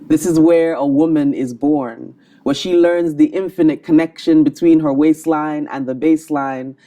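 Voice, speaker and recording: A woman performing a spoken word poem in a rhythmic, measured delivery. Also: deep voice, steady tone, warm tone. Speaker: poet. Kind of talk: spoken word poetry recital.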